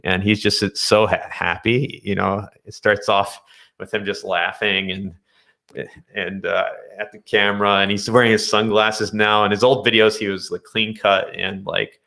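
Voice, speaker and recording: Very deep, bright-voiced man. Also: little pitch movement. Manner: halting, thinking aloud. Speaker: guest, day trader. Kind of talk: casual discussion of finance and tech.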